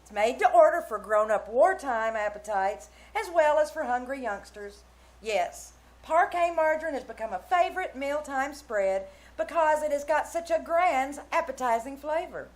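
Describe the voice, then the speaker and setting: A woman's voice: high-pitched, expressive, normal speed. A woman reading a scripted 1940s-style radio commercial as sponsor announcer, with emphatic delivery. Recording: live small-theater stage reading.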